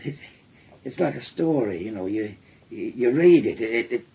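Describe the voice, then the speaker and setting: An actor playing a bitter, weary, ailing working-class father with a Northern English accent and a husky, halting delivery in a radio drama. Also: mid-range voice, animated, warm tone, lo-fi sound, full character voice. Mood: brooding, halting. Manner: halting, repeating himself. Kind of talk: naturalistic British radio drama acting.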